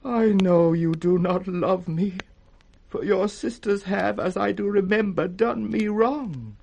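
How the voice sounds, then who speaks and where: A grieving, humbled old British king in a radio Shakespeare. His voice is raspy and gravelly and sits fairly high for a man. He speaks very slowly and haltingly, wavering with confusion. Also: a little mellow, slight background noise, heavily acted. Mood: tender, confused. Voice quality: raspy, cracked.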